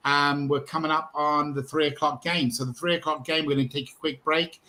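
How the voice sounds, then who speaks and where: A man's voice: on the high side, flat delivery, fairly quick, pushed and bright. A man hosting a live charity video call, chatty and upbeat as he introduces guests. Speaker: livestream host.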